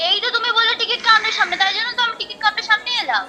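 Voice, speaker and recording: A woman's voice: very high-pitched, racing. A woman on a phone call, irritated and complaining as she is pranked. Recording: phone line with background noise.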